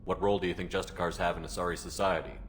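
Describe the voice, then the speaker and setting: A man playing a human military commander in a video game, steady and supportive, with a direct, plainspoken manner. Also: low-pitched, very fast, slight background noise, natural voice. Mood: probing, calm. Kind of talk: video game dialogue acting.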